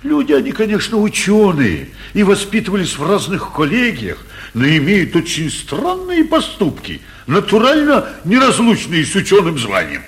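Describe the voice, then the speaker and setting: An actor playing an anxious, blustering middle-aged provincial mayor in emphatic, theatrical 1940s radio comedy style. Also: male voice, sits high, wildly swooping pitch, moderate pace, exaggerated voice. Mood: fussy, complaining.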